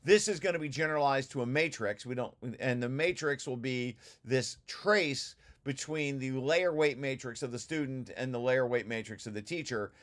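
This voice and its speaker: Guy walking thru a technical paper, kinda halting, keeps correcting himself, mid pitch. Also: a bit bright. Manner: halting, self-correcting, explanatory. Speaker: presenter reading through own technical paper. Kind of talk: informal technical walkthrough.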